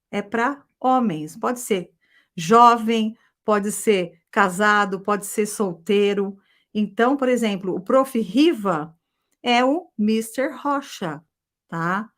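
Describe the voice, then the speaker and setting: Brazilian lady teacher, 40s, upbeat, keeps checking in and drilling, fairly high voice. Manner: lively, ends phrases with a checking tag, drills repetition. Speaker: English teacher. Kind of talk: live online class. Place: abroad in Europe.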